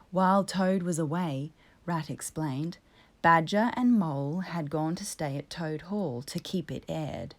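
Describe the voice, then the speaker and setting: A woman narrating a children's story as a storyteller, in a measured, expressive way. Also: lowish voice.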